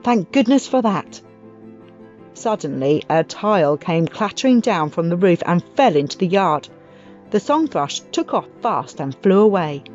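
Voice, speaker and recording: A woman narrating a children's audiobook as an expressive storyteller. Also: lowish voice, normal speed, dull audio. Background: music.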